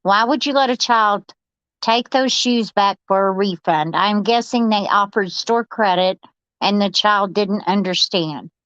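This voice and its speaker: A woman's voice: medium pitch, measured pace, a bit bright, no echo, dull audio. A woman reading out viewer comments and giving her opinion on them.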